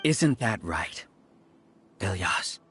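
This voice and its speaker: A man's voice: low voice, very animated, drawn-out words, fairly bright tone, exaggerated voice. A man playing a haughty scholar villain in an anime game, lecturing with smug, theatrical condescension over music. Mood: condescending, lecturing.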